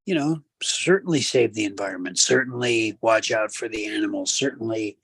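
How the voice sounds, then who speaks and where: A man co-hosting a casual talk show, giving measured commentary with preacherly asides to the listeners. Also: fairly low pitch, fairly bright tone, breathy edge, dry recording. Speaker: radio show co-host.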